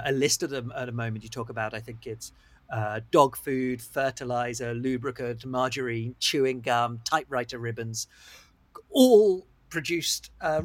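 A man's voice: medium pitch, no echo. A man in his early forties, interviewing a guest in a casual, chatty way. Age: 40s. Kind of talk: conversational interview.

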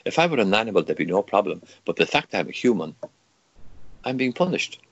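A man's voice: fairly low pitch, big pitch swings, quick pace, gravelly, no room sound, highs cut off. An older man from Kerry with an Irish accent, a native Irish speaker talking in English, arguing emphatically in an interview with many stammered restarts and rhetorical questions. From Ireland. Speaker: guest, campaigner. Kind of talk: impassioned interview argument.

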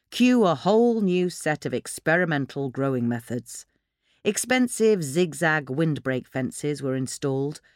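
A woman reading a scripted magazine feature aloud in a measured, expressive storytelling way. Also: sits low, no room sound, clean studio sound. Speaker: narrator reading a magazine article. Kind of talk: scripted narration of a gardening feature.

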